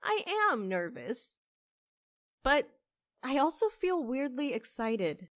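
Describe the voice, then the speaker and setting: A woman's voice: high-pitched, big pitch swings, slow, no room sound, studio quality, exaggerated voice. A woman playing an earnest, hopeful young witch who runs a cafe, in a conversational audio drama style. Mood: earnest, wistful.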